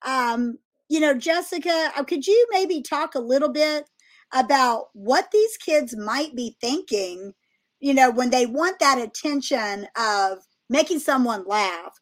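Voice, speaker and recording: A woman's voice: high voice, expressive, measured pace. A woman hosting a faith-based discussion, asking her guests questions and encouraging them. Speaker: host.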